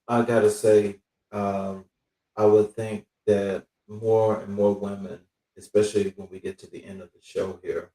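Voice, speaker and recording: A man hosting an interview, asking thoughtful questions in a measured, somewhat hesitant way. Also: fairly low pitch, dark tone. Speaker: host. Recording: distant mic in echoey room.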